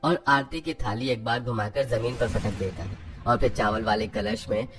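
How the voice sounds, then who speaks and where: A man's voice: medium pitch, moderate pace. A man narrating a scripted story as the narrator.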